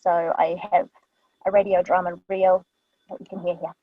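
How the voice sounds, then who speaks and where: A woman's voice: lowish voice, flat delivery, normal speed. Chatty New Zealand woman in a booth. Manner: chatty, many ums, lists items. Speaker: voice artist guest. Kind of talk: casual explanatory talk. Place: small vocal booth. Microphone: studio microphone. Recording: dull, dry booth sound.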